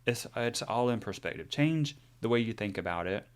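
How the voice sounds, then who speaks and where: A man's voice: medium pitch, expressive, moderate pace, clean tone. A man in his forties co-hosting a podcast, chatting openly about his own experience in a rambling way, with many fillers and restarts. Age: 40s. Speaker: podcast co-host. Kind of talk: casual chat.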